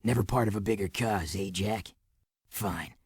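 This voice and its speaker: A sardonic male resistance commander from a video game. His voice is deep and husky, and he gives orders tersely in a close, dry recording. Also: normal speed, a little mellow, dry recording. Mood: weary, sardonic. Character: gruff, sardonic male underground resistance leader. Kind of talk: video game cutscene acting.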